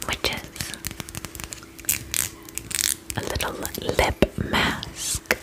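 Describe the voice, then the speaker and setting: A woman doing an ASMR spa roleplay as an attendant, speaking in a soft breathy whisper close to the mic, gentle, soothing and affectionate. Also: poor audio. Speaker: ASMR performer playing a vampire spa attendant. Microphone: close ASMR microphone.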